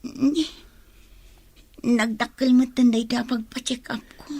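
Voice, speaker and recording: A woman playing a trusting, lovestruck young daughter, soft and earnest, in a radio drama. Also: a little high, fairly even intonation, measured pace, no echo. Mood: tender, anxious. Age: young adult.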